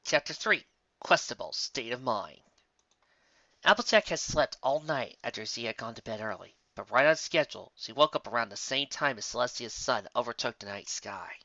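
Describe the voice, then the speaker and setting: A man reading fan fiction aloud as an expressive scripted story narrator. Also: mid-range voice, normal speed, dry recording, highs cut off.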